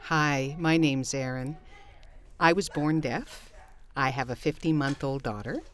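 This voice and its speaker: A woman's voice: very deep voice, measured pace, slight background noise. A woman, a mother, speaking earnestly and directly to other parents. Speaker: mother sharing her experience. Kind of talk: personal testimonial to camera.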